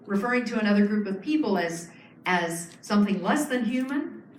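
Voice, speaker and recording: A woman's voice: medium pitch, expressive, moderate pace, distant mic, a little hiss or hum. A woman giving a public lecture, explaining earnestly with frequent fillers and restarts. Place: lecture hall. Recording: echoey hall, speaker off-mic.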